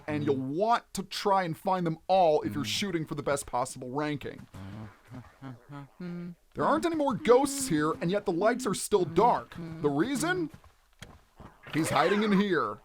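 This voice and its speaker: A man hosting a let's play, explaining the game in an expressive, instructional way. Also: fairly high pitch, a little slow, noisy background.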